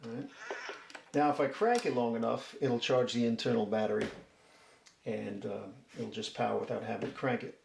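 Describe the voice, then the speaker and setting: A man with a gravelly, creaky edge hosts a casual product review. He is folksy and chatty, explaining step by step. The room is echoey, with a faint layer of noise. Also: mid-range voice, normal speed. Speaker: host of a DIY product review channel. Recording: roomy echo, faint background noise.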